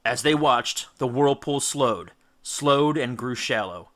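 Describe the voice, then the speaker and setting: Bright, forceful male storybook narrator. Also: mid-range voice. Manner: theatrical, emphatic, with deliberate dramatic stresses. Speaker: audiobook narrator. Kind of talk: dramatic scripted storybook narration.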